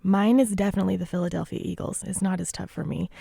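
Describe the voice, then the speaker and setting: A woman co-hosting a sports radio show, her voice hoarse and gravelly after losing it, chatting casually and pushing for predictions. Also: on the low side, fairly even intonation, fast, dark tone, no room sound, studio quality. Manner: chatty, eager to debate picks. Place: radio studio.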